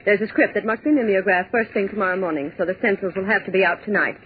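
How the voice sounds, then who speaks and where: A woman's voice: mid-range voice, fast, natural voice. An actress playing a prim, stern woman office supervisor, formal, clipped and authoritative, in 1940s radio drama style. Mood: brisk, businesslike. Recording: tinny, noisy 1940s radio recording.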